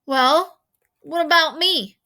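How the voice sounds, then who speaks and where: A woman's voice: high voice, slow pace, sharp tone, voice pushed up, heavily acted. A woman reading a storybook, playing cheeky, curious young schoolboys who ask questions with mild indignation. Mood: indignant, cheeky. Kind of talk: storybook read-aloud character voice.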